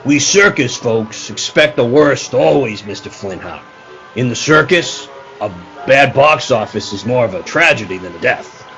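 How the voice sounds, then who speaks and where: Man playing a gravelly, world-weary circus showman. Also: mid-range voice, animated, moderate pace, fairly bright tone, lo-fi sound, soft background music. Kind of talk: radio play acting.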